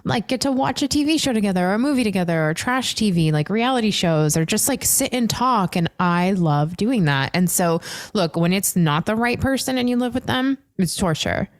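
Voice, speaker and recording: A woman's voice: mid-range voice, animated, normal speed, few pauses, no room sound. A woman hosting a podcast in casual conversation, talking in a chatty, informal, confiding way. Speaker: podcast host. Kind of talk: casual interview chat.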